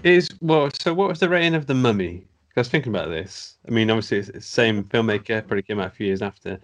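A man's voice: fairly low pitch, quick pace. A man co-hosting a podcast, reading out reviews with a wry, laughing delivery. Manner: wry, laughing at what he reads. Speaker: podcast co-host.

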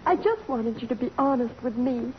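A woman's voice: on the high side, expressive, normal speed, close to an everyday voice. An actress playing a tender, sincere young college woman, polite and emotional, in a radio drama. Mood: tearful, forgiving. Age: young adult. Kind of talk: 1950s radio drama acting. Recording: muffled, noisy old radio broadcast.

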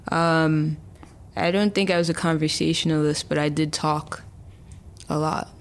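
Young woman with a flat, gravelly voice. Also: low-pitched, moderate pace. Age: early 20s. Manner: chatty, many fillers and restarts. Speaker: interview guest. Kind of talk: casual interview. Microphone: remote call.

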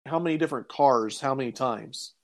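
A man's voice: medium pitch, fairly quick. A man co-hosting a casual chat, thinking aloud and puzzling things over in a conversational way. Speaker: podcast co-host. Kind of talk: casual chat reviewing old radio serials.

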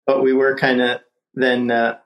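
Laid-back guy on a podcast, mid pitch, echoey room. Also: completely flat delivery, unhurried, lo-fi sound. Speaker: podcast guest. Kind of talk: casual conversation.

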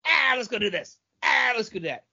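A man with a lively, swinging delivery talks as a podcast co-host. He is blunt and opinionated in casual review chat, acting out quotes as he goes. The audio is a little dull. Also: very high-pitched, fairly quick, gravelly, husky. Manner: blunt, swearing, acts out mocking quotes. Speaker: co-host of a comic book podcast.

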